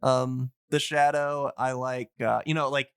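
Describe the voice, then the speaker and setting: Bright, crisp-voiced man chatting casually. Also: medium pitch, fairly even intonation, unhurried, no room sound, clean studio sound. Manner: enthusiastic, rambling explainer who searches for words. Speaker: podcast host. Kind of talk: casual podcast chat.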